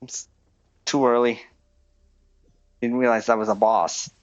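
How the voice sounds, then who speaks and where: A man's voice: medium pitch, fairly even intonation, measured pace, no room sound, muffled recording. A man giving casual live commentary while streaming a game.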